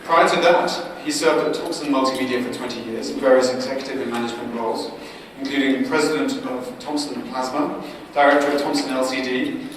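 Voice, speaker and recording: A man's voice: medium pitch, fairly even intonation, a little fast. A man introducing a keynote speaker at a conference, reading a formal, list-like biography. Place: large conference hall. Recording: echoey hall, distant mic, noisy.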